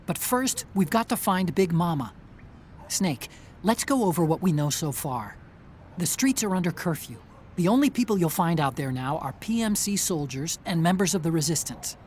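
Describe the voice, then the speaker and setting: A man's voice: sits high, lively intonation, normal speed. A clever, earnest male technician guiding an agent in a video game. He explains things step by step in a methodical briefing style, over faint background noise. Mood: serious, instructive.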